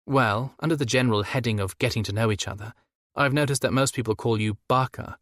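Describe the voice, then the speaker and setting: A man voicing a shy, flirtatious woman in love in a soft, hesitant, teasing way for an audiobook romance. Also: medium pitch, fairly quick, no room sound, clean studio sound, natural voice. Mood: playful teasing.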